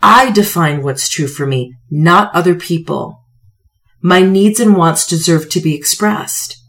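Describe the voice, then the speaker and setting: Slightly hoarse, low-voiced woman, motivational host. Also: fairly bright tone. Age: 40s. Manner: emphatic, pointed pauses, rhetorical questions. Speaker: podcast host, self-help coach. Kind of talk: motivational talk to listeners, conversational.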